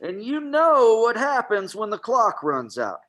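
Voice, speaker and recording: A man's voice: high voice, wildly swooping pitch, measured pace, a bit bright, full character voice. A man playing a menacing, mock-playful villain calling out to people he has trapped, in a horror script reading. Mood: menacing, coaxing. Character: taunting menacing male villain calling out through a bullhorn.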